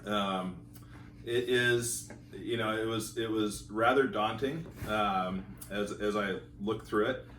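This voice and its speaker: Middle-aged man, low voice, hesitant testimonial, recorded from a distance in an echoey room. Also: fairly even intonation, a bit bright, slight background noise. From Montana, United States. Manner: halting, many fillers and repeated words. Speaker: school teacher sharing his marriage story. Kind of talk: casual testimonial. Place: home in California. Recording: roomy echo, voice from a distance.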